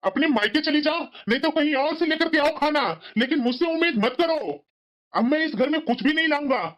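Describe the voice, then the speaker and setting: A woman's voice: sits high, brisk, a bit bright. A woman voicing a resentful, weary husband and father in a cartoon family drama, with a complaining manner. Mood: bitter, irritated. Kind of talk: Hindi moral-story cartoon dialogue.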